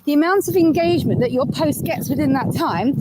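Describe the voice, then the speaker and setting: A woman's voice: sits high, animated, brisk, heavy background noise. A woman outdoors on a video call, giving instructive tips and repeating key points for emphasis. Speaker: guest speaker.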